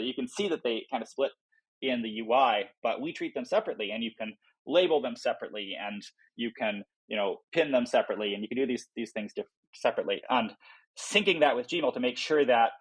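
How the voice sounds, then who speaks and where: A man's voice: mid-range voice, breathy edge, low-quality recording. A man giving informal, explanatory interview answers about a product, often voicing imagined quotes as he goes. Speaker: software company founder, podcast guest.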